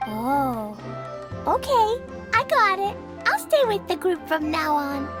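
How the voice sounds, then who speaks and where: A small, cheerful young girl speaks in a very high, lively voice at a slow, careful pace. Her bubbly, childlike manner fits a video game. Game music plays behind her. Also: exaggerated voice. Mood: obedient. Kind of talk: video game cutscene dialogue.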